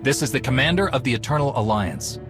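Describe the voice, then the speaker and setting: An actor playing an earnest, resolute Jedi commander in a cinematic video game, speaking with authority. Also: male voice, medium pitch, animated, fast, music in the background, close to an everyday voice. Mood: solemn, resolute.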